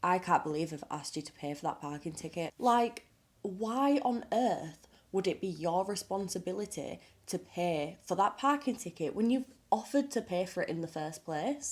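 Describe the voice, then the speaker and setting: A woman with a low voice talks casually to her camera, sounding stuffed up and nasal from a cold. She is blunt and outspoken and swears without fuss. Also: a little mellow. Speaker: makeup artist. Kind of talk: casual reaction vlog commentary.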